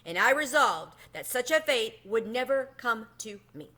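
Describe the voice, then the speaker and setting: A woman's voice: on the high side, expressive, moderate pace, sharp tone, pitched above the performer's own voice. A woman voicing a melodramatic, self-pitying, miserly little male mouse for a children's storybook, pleading and theatrical with quaint phrasing. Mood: choked-up, mournful. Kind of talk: children's storybook read-aloud.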